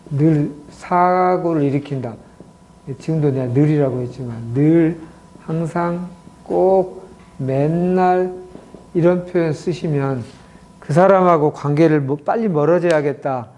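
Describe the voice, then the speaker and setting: Middle-aged guy giving a talk, warm mellow tone, slightly high voice, acts out little quotes. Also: poor audio. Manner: explains slowly with many acted example quotes. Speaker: clinic director teaching communication. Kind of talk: lecture to an audience.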